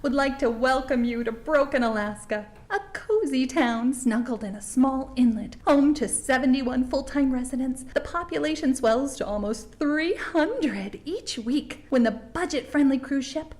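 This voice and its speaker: A woman's voice: high-pitched, expressive, a little slow, few pauses, a little mellow. A woman playing an upbeat small-town tourism host, earnest, polite and gushing as she narrates and interviews. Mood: earnest, promotional. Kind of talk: scripted promotional narration and interview.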